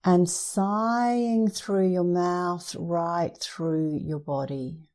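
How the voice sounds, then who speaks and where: A woman meditation instructor leading a guided meditation in a soothing, gentle, unhurried manner. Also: on the low side, steady tone.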